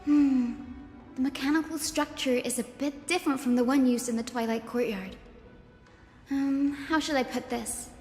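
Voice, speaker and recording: An actress voicing a gentle, polite young woman who guides and reassures the party in a game. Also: high-pitched, moderate pace, lightly acted. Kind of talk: game voice acting. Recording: roomy reverb with game music.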